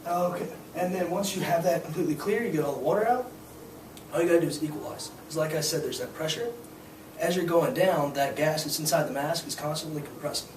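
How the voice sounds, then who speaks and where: A hoarse young man teaching a scuba class, explaining gear and skills in a casual, filler-heavy way. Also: on the high side, a little fast. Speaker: scuba instructor. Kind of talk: hands-on demonstration to a class. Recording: echoey room, distant mic, noisy.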